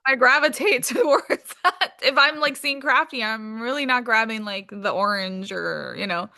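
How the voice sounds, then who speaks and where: A woman's voice: fairly high pitch, animated, moderate pace, vocal fry, sharp tone. A woman chatting casually as an interview guest, candid and very informal in her wording. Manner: candid, informal, peppered with "like", mild swearing. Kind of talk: casual chat.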